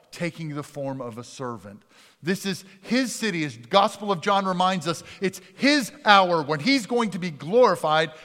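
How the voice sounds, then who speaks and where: A man preaching a sermon as pastor, talking conversationally and dropping from loud to hushed for emphasis. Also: on the high side. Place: church sanctuary. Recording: long reverb of a church sanctuary.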